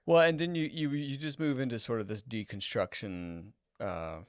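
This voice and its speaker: A man's voice: medium pitch, steady tone, normal speed, no room sound, clean studio sound. A man co-hosting a casual podcast discussion, thinking aloud in a hesitant, conversational way. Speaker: podcast co-host.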